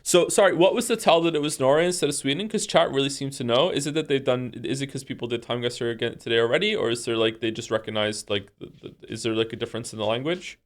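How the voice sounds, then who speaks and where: A man from Wales livestreaming, thinking aloud in a rambling, excitable, incredulous way as he chats casually with viewers during a game. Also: mid-range voice, fast talker, few pauses. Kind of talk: casual chat with stream viewers while playing a guessing game.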